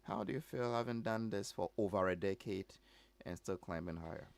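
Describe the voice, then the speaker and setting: Gravelly, playful radio host. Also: male voice, on the low side, moderate pace, dry recording. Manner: playful, teasing, laughs often. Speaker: radio entertainment show host. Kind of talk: radio talk show hosting. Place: radio studio. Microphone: studio microphone.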